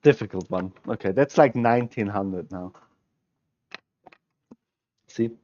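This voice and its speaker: A young man from Switzerland, German his first language, speaks English with a Swiss German accent. He thinks aloud in a halting, musing way, correcting himself as he goes, his voice sitting a little low. The headset audio sounds dull and lo-fi, with faint hiss underneath. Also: a little mellow. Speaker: chess streamer. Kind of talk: thinking aloud while solving chess puzzles, chatting with viewers. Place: small room at home, indoors.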